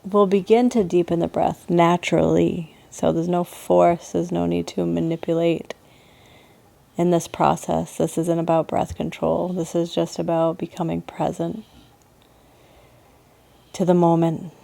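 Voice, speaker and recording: A woman guides a meditation in a very dark, relaxed voice. Her delivery is very slow and nearly flat in melody, with gentle pauses between short phrases. She sounds like a soothing yoga teacher. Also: lowish voice, gravelly.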